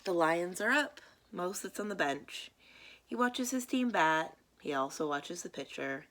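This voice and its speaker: A woman reading children's stories aloud as a library storytime reader, in a deliberate, expressive way. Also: fairly low pitch.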